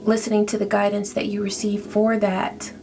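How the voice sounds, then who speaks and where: Gravelly-voiced woman reading tarot. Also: medium pitch, monotone, normal speed, music in the background. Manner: reassuring, repeats "okay?" often. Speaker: tarot reader. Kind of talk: casual spiritual tarot reading.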